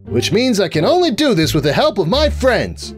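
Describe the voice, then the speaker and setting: A man's voice: high voice, huge pitch swings, moderate pace, soft background music, pitched above the performer's own voice. A man voicing a young female undead pony sorceress, stubbornly optimistic, eager and breezy in a comic storybook reading. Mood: triumphant. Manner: eager, breezy, brushing off problems.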